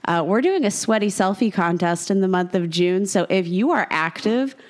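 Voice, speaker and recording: Chatty woman with a slight cold rasp. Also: medium pitch, animated. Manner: chatty, self-mocking, quick asides. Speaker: podcast co-host. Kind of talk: casual fantasy football chat.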